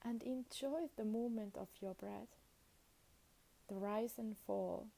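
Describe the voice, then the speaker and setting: A woman guides a meditation at a very unhurried pace. Her voice is soft-edged and dark, with a noticeable fry in it. She speaks in short, soothing phrases and leaves generous space between them. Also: mid-range voice, rough recording.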